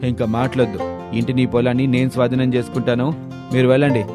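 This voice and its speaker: A man's voice: medium pitch, level tone, quick pace, music in the background, lightly acted. A man voicing a harsh, confrontational moneylender in a dramatic storybook tale. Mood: angry, sarcastic. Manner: bullying, sarcastic, confrontational. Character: loud harsh male moneylender demanding repayment.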